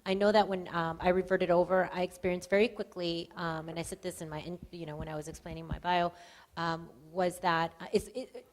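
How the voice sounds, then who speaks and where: A woman on a panel sincerely sharing her personal story, measured with frequent "um". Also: lowish voice, little pitch movement.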